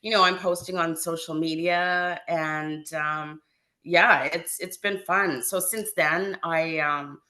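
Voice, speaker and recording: A woman from Canada chats in an interview over a video call. Her voice sits a little low but sounds bright and forward, and she fills her pauses with hesitant ums. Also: fairly even intonation. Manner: chatty, hesitant fillers. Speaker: brand ambassador. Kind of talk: casual interview.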